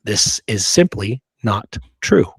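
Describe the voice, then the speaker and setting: A man's voice: fairly low pitch, sing-song, slow, gravelly, breathy edge, no room sound. A man co-hosting a discussion show, reading out quotes and often checking in with "okay?" and "right?". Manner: frequent "you know" and checking "okay?", "right?". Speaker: show co-host.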